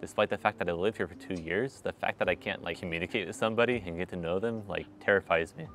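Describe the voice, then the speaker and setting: A young man in his twenties talking straight to the camera in a wry, animated vlog, recorded outdoors on a city street at night with a clip-on mic. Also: sits low, fast, slight background noise. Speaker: vlogger. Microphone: small clip-on mic on his shirt.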